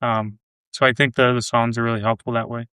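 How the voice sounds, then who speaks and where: A man's voice: medium pitch, little pitch movement, a little fast, sharp tone, smooth, no room sound. A man hosting a casual podcast chat about faith, reflective and fond of listing examples. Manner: reflective, builds lists of examples. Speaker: podcast host.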